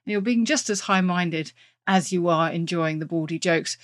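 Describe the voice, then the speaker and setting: A woman's voice: on the low side, moderate pace, dry recording, studio quality. A woman hosting a podcast, speaking in a polished, presenterly interview style. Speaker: podcast host. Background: music.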